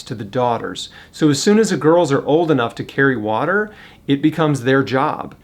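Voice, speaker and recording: A man presenting a charity appeal in a casual, earnest way, talking straight to the viewer with rhetorical questions. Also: medium pitch. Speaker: fundraiser and presenter.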